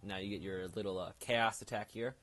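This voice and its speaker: A man's voice: on the low side, steady tone, brisk. A man hosting a gaming video with casual, explanatory commentary and rambling asides. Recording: loud background noise.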